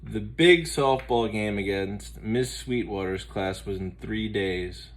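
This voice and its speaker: A man reading a children's picture book aloud in an expressive storytelling style. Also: lowish voice, slow.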